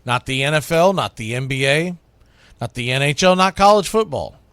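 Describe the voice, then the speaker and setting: A man's voice: mid-range voice, lively intonation, moderate pace, clean tone, no room sound. A middle-aged man hosting a sports talk monologue, speaking in an emphatic, folksy, conversational way like a play-by-play announcer working through a list. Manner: emphatic, announces each item loudly then drops into quieter musing. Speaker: radio host and baseball play-by-play announcer.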